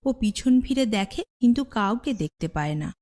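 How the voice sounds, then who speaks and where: A woman's voice: a little high, animated, normal speed, warm tone. A woman storyteller giving scripted fairy-tale narration for children.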